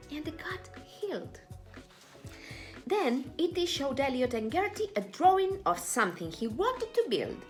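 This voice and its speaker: A woman narrates a children's storybook in a fairly high voice that rises and falls dramatically. She takes her time over each phrase, with faint music underneath. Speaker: storybook reader. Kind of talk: expressive read-aloud children's story narration.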